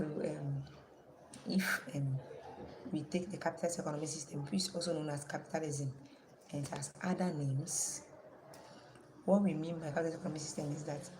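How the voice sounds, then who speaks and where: A woman's voice: low-pitched, noisy background. A woman teaching an online class with a strained voice, asking her students questions and repeating the key terms.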